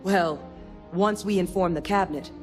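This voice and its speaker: A woman's voice: lowish voice, moderate pace, a little mellow, faint music behind, lightly acted. An actress playing a resolute, businesslike female scientist in a video game, speaking in a direct, matter-of-fact way. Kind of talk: video game character dialogue.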